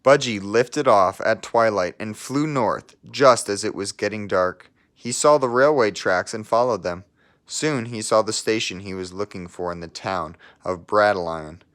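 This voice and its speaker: A man's voice: on the low side, a bit bright. A man reading a children's picture book aloud expressively, as if to young listeners. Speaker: storybook reader.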